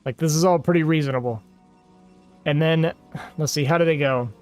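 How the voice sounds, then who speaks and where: Man, game streamer talking to his chat; somewhat raised pitch, rambling and exasperated, faint background music. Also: brisk, smooth. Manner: rambling and exasperated, heavy use of "like". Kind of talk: casual livestream chat with viewers.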